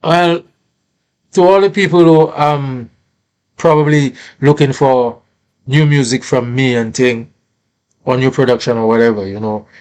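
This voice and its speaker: A man's voice: medium pitch, measured pace, pauses often, darkish tone, poor audio. A man with a Saint Lucian accent, a musician talking casually in an interview in Caribbean creole-flavoured English, often tagging phrases with "you know".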